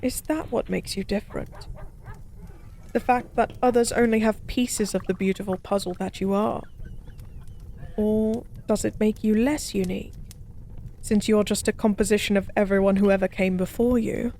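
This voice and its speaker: A woman playing a forgotten goddess as a tipsy pub stranger in an intimate audio roleplay, speaking softly in slow, wistful philosophical rambles. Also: medium pitch, fairly even intonation, slight background noise, lightly acted. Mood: reflective. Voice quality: soft. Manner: slow, musing, rambling, teasingly cryptic.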